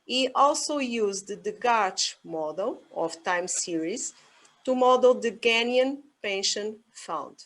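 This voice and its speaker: A woman's voice: on the high side, lively intonation, takes it slowly, fairly bright tone. A woman with a Portuguese accent chairing an online conference session, often hesitating with fillers. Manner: hesitant, many fillers, switches between Portuguese and English. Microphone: video call.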